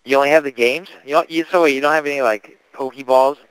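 A man's voice: mid-range voice, steady tone, quick pace, clear, resonant tone, no room sound. A young man making a casual prank phone call, asking slangy questions like a mock-earnest customer. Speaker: prank caller.

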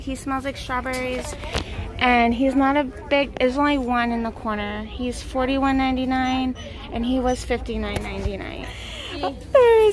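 A woman in her forties filming a shopping vlog on a handheld phone, gushing and exclamatory as she shows her finds. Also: sits high. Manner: gushing, exclamatory, quick bursts of excitement. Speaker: shopping vlogger. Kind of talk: excited shopping haul vlog. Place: parked car, then indoor retail store. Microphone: handheld phone camera.

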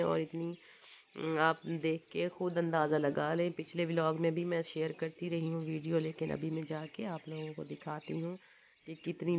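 A young Pakistani woman vlogs outdoors with a slightly hoarse, flat-toned voice. She keeps turning to her viewers in a chatty way as she shows her village. The phone audio is dull and lo-fi, with birds and wind clearly behind her. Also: low-pitched. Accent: Punjab. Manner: chatty, addresses viewers often. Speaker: village vlogger. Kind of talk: casual vlog walkthrough. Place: outdoors in fields and a village courtyard. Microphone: phone camera held nearby.

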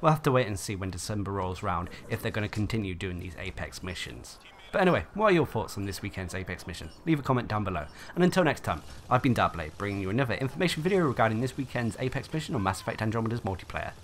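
A man's voice: lowish voice, very animated, quick pace, a little hiss or hum. A man hosting a gaming channel, narrating a scripted information video.

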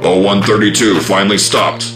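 A man's voice: fairly low pitch, fairly even intonation, moderate pace, music in the background. A man giving dramatic scripted narration in the manner of an old-time radio announcer. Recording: roomy narration over loud score.

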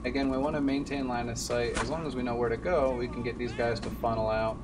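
A young man gives casual, explanatory gameplay commentary on a stream. His voice sits at a middle pitch, a bit away from the mic, with game sound clearly behind it. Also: level tone, fast, recorded from a distance, very noisy. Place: indoor room at a streaming desk. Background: game sound and music.

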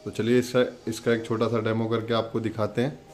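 Low-pitched man giving a step-by-step demo. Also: flat delivery, a little fast, a little mellow, soft background music. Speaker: product demonstrator and channel host. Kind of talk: casual step-by-step demo narration.

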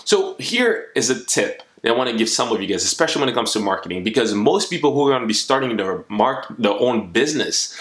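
A man's voice: mid-range voice, very animated, quick pace, few pauses, fairly bright tone. A young man from the Democratic Republic of Congo giving encouraging business advice straight to the camera in a home vlog. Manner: upbeat, encouraging, speaks straight to the viewer. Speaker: motivational YouTuber. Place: small room at home, indoors. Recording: echoey small room.

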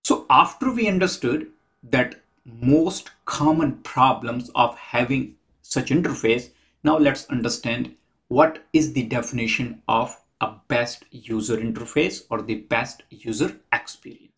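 A man in his fifties, the host, talking to camera from a small room at home in a measured, methodical way, counting his points off one by one. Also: mid-range voice, fairly bright tone. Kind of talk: explanatory talk to camera.

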